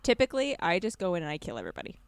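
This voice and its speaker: A woman with a slightly low voice chats as a podcast co-host. She talks informally and conversationally, and the sound is very dry, with no room echo. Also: huge pitch swings, racing, smooth. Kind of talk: casual gaming podcast chat.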